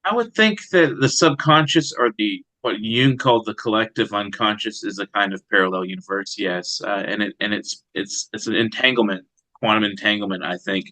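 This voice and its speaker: A man speaking as a poet in an interview, reflective and measured in his manner. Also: on the low side, sharp tone, poor audio. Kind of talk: interview answers and poetry reading.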